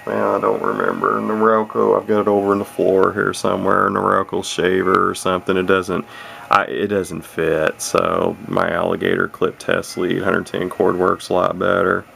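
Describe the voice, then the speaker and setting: A man's voice: deep voice, moderate pace, a bit bright. A man giving a casual, unscripted hobby demonstration, rambling and hesitant, with a self-deprecating way of talking, recorded on a camera microphone. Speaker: vintage radio hobbyist presenting his own video. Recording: clearly noisy home camera recording. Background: clear background noise.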